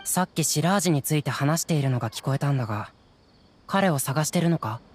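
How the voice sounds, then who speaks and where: A man's voice: on the high side, lively intonation, fast, slight background noise, natural voice. An actor playing a chatty, modest, helpful townsman in a Japanese anime game, talking in a casual, informative way.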